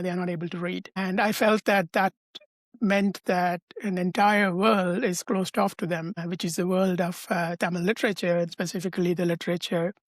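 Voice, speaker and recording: A middle-aged man, answering interview questions in a measured, thoughtful way over a video call. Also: sits high, no room sound. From Sri Lanka. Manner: measured, thoughtful, with frequent hesitations and restarts. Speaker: guest, professor and translator.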